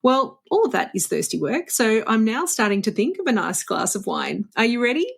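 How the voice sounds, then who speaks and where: A woman's voice: on the high side, big pitch swings, normal speed, creaky, slightly rough. A woman hosting a travel podcast, upbeat and chatty, sprinkling in Italian greetings. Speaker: podcast host. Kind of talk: casual interview chat.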